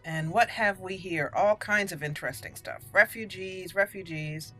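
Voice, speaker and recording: A woman hosting a roleplay game playthrough, speaking in a deliberate storyteller manner. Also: fairly low pitch, sharp tone. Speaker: gaming channel host. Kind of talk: roleplay game commentary and dramatic lore reading. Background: faint game music.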